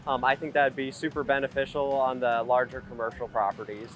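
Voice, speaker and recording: A man's voice: mid-range voice, flat delivery, brisk, fairly bright tone, muffled recording. A man, a business owner, giving a casual testimonial outdoors. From Iowa, United States. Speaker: lawn care business owner. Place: outdoors at a trade expo. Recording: outdoor interview with faint music.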